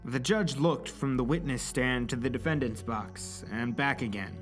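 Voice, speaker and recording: A man narrates a dramatic scripted story in a medium-pitched voice. He acts as storyteller for an audio drama, with faint music beneath his words. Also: moderate pace.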